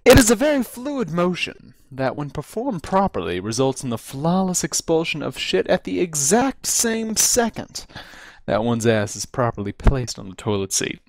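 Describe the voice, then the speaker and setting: Animated male storyteller with swooping pitch. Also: moderate pace, no room sound. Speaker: amateur reader of a comic story. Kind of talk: dramatic reading of a humorous first-person story. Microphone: close computer mic on voice chat.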